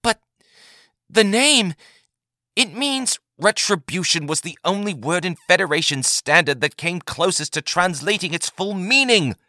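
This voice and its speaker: A man's voice: high voice, lively intonation, unhurried, bright, forceful tone, no echo, studio quality, voice pushed up, exaggerated voice. A man voicing a defiant young woman lieutenant, breathless and insistent.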